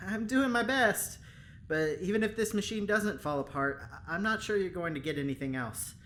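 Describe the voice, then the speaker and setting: An easygoing assistant scientist in an audio drama. He talks casually and hesitantly with a very animated melody, over faint noise. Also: high-pitched, normal speed. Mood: flustered. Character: easygoing, flustered lab doctor assisting the boss.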